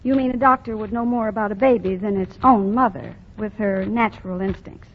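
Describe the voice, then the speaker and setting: A woman's voice: mid-range voice, brisk, natural voice. Casting: woman playing weary sardonic young mother, muffled old broadcast sound with faint hiss. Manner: dry, sardonic. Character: tired wisecracking young working mother. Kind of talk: 1940s radio acting.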